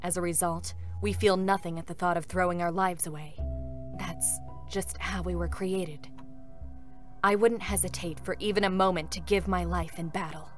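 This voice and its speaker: A woman playing a stoic, formal female combat android who hides deep anguish, in a dramatic game reading. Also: steady tone, moderate pace, faint music behind, lightly acted. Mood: composed, resolute. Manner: solemn, controlled, formal.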